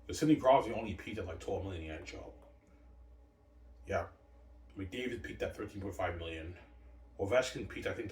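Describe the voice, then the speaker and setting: A man's voice: deep voice, recorded from a distance. A man talking to himself in a rambling solo monologue, repeating words and filling gaps with "like" and "man". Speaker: solo vlogger. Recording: echoey room, voice off-mic with faint background noise.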